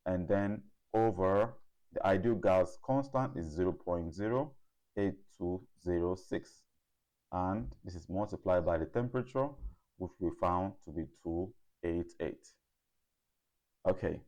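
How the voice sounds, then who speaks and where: A man giving a step-by-step lesson as a tutor, working deliberately through problems and repeating figures as he goes. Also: sits low, warm tone, low-quality recording. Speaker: chemistry tutor.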